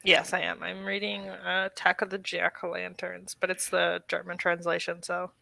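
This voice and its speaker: Brief: young woman, bright tone, dry close sound, excitable, full of "like.". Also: on the low side, normal speed, vocal fry, no echo. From Minnesota. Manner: lots of "like", excitable, laughs easily. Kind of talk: casual chat.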